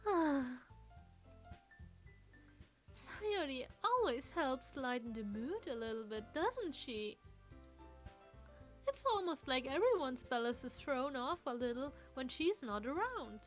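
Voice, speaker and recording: A woman plays a friendly, poised teenage club leader from a visual novel. Her high voice moves animatedly up and down as she takes charge. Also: big pitch swings, unhurried, soft background music. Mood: concerned. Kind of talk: visual novel character dub.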